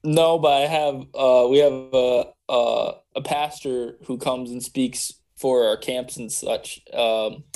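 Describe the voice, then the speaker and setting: A man's voice: medium pitch, steady tone, slow pace, few pauses, a little mellow. A man co-hosting a casual podcast, easygoing and thoughtful, with fillers as he thinks. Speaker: podcast co-host. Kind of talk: casual chat.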